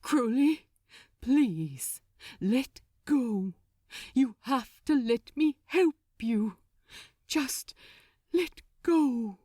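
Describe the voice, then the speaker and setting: Audiobook angel, male, played by a woman: gentle, proper and anxious, with highly animated intonation and dry, close sound. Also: drawn-out words, pauses often, no echo, full character voice. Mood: urgent pleading. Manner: polite, earnest, formal wording. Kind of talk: dramatic audiobook character acting.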